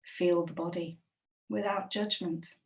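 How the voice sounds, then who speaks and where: A woman guiding a body scan meditation, speaking slowly and gently with long, unhurried pauses. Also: fairly low pitch, steady tone, recorded from a distance. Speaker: meditation guide.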